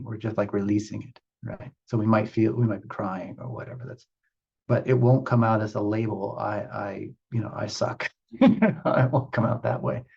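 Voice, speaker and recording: A low-voiced man speaks as a spiritual teacher in a reflective meditation session. His voice is mellow and a little husky, and he moves through ideas in deliberate, halting phrases, pausing for emphasis. The recording is somewhat dull.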